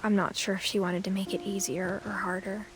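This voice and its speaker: An actress playing a reflective teenage girl, narrating her family's past in a soft, measured, subdued way for a video game. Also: medium pitch, little pitch movement, background noise, lightly acted. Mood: pensive, melancholy. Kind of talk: scripted first-person game narration.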